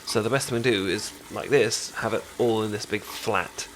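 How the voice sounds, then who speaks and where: A British man playing a caring, chatty best friend in an intimate ASMR roleplay, talking casually with halting, stammering explanations. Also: lowish voice, steady tone, fairly bright tone, slight background noise, close to an everyday voice, poor audio. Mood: calm, instructive. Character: caring, chatty male best friend teaching sushi making.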